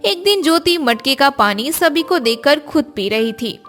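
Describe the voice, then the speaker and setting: Woman narrator, high pitch, scripted storytelling, faint background music. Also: animated, measured pace, fairly bright tone.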